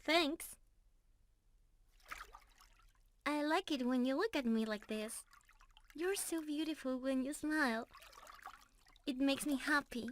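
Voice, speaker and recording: A woman's voice: high-pitched, a little slow, a little hiss or hum. A woman playing an affectionate, playful young mermaid in love, talking tenderly and giggly to the listener in an ASMR roleplay. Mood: soft, bashful. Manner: sweet, giggly, tender, addressing the listener directly. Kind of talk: ASMR roleplay, scripted intimate acting.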